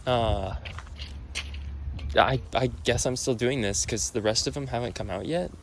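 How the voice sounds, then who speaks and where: A man's voice: mid-range voice, unhurried. A young man with a stuffy, nasal voice talks into his camera from the car. He rambles casually and keeps correcting himself mid-sentence. Road and engine noise hum steadily behind him. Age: young adult. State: sick, nasal. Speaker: vlogger. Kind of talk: casual vlog while driving.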